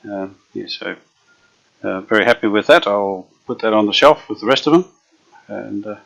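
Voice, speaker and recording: A man restoring an old radio, chatting casually as he works, unhurried and full of fillers. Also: lowish voice, gravelly, fairly bright tone. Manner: unhurried, thinking aloud with many fillers. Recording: dull lo-fi workshop recording.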